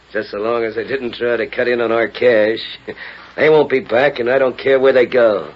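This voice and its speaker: An actor playing a cocky, callous outlaw boss in a 1940s radio western, dismissive and folksy. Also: male voice, mid-range voice, steady tone, normal speed, natural voice. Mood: dismissive, smug. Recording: muffled 1940s radio recording.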